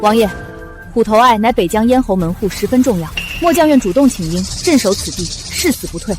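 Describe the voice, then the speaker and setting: A proud, fierce young female general from a period war drama, played by a woman. Her high voice swings widely in pitch, delivered with martial formality over clear dramatic music. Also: animated, fairly quick, close to an everyday voice. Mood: formal, resolute. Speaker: actress in a costume short drama. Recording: dramatic music under the dialogue.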